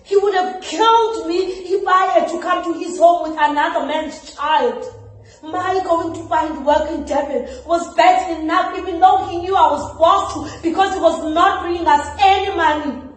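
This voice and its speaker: Woman acting an older south african mother, defensive n hurt, high voice, super echoey room, bit far from mic. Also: normal speed. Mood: bitter, defensive. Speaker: trainee actor. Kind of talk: stage monologue. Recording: very echoey room, distant mic, background noise.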